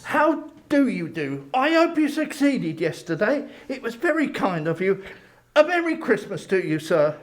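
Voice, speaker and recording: Exuberant elderly Victorian gentleman, strikingly high voice, swooping intonation, effusively kind, storybook drama. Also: very animated, measured pace. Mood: humble, earnest. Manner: exuberant, exclamatory.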